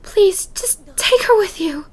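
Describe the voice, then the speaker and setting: A woman playing a gentle, dying young mother who speaks haltingly and pleadingly in a dramatic fan dub. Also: very high-pitched, expressive, full character voice. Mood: weak, pleading.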